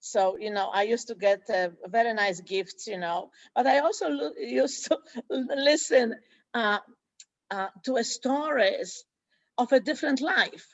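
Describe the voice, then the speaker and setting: Non-native English-speaking woman from Poland, school director in an interview; halting delivery with frequent fillers. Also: fairly high pitch, expressive, dry recording, lo-fi sound. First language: Polish. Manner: hesitant, many fillers and restarts. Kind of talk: interview conversation.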